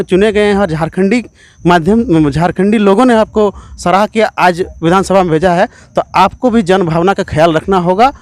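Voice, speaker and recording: A man's voice: high-pitched, no room sound. A man giving earnest, emphatic, repetitive political answers in an interview as an activist.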